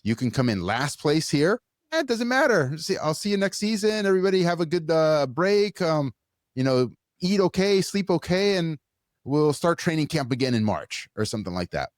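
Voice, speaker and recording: A man's voice: on the high side, very animated, moderate pace, dry recording, studio quality. An American man hosting a conversational interview podcast, informal and outspoken in the way he talks. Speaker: podcast host.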